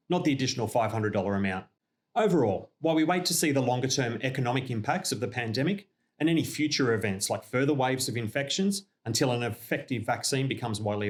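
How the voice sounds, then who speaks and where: An Australian man giving scripted informational narration as a company representative, in a measured, explanatory and businesslike manner. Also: medium pitch. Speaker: company representative presenting a newsreel update.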